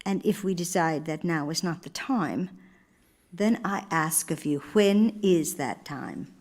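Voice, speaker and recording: A woman playing an earnest, idealistic older First Lady in live radio theater, speaking in a measured, oratorical manner. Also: fairly low pitch, darkish tone, slight background noise, lightly acted. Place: live stage before a studio audience in a church.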